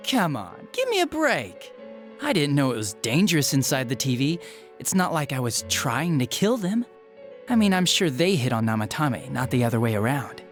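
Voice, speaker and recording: A man's voice: medium pitch, big pitch swings, moderate pace, soft background music, lightly acted. Man acting smug, unhinged detective villain, swooping voice. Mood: flippant. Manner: sneering, flippant, theatrical. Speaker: police detective. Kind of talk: dramatic video game voice acting.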